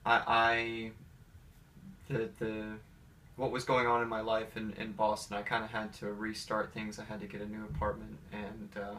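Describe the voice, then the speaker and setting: A man's voice: lowish voice, completely flat delivery, normal speed, bright, forceful tone. Hesitant American man, recorded from a distance. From Atlanta, United States. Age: 30s. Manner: hesitant, rambling. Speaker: interviewee, pianist. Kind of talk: casual interview, personal storytelling. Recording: distant mic with faint background noise.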